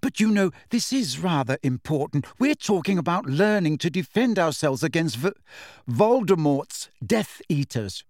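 A man voicing an earnest, impatient teenage schoolgirl with a brisk, insistent manner in a storybook reading. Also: fairly high pitch, expressive, no echo, clean studio sound, pitched above the performer's own voice.

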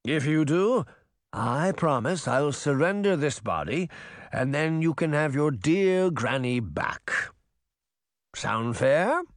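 A man's voice: on the high side, lively intonation, slow pace, no room sound, studio quality. A man voicing a sly, mocking mirror spirit villain in an audiobook, with a theatrical, mock-friendly, taunting manner. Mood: coaxing. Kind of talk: audiobook character voice.

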